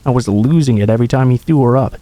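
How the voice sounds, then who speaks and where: Mellow-voiced man reading a scary story. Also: medium pitch, dry recording. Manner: measured, suspenseful reading. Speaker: horror story narrator. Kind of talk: scripted first-person story reading.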